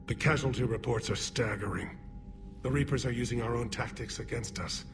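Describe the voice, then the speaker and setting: A man playing a weary but resolute alien military leader in a video game, formal, solemn and measured. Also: medium pitch, close to an everyday voice. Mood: grim, sorrowful. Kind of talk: dramatic video game acting.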